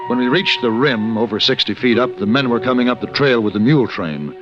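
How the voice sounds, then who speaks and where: An actor playing a refined, steady gunfighter for hire in a 1950s radio western, speaking and narrating in a measured, composed way. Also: male voice, mid-range voice, natural voice. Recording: dull vintage radio recording with music.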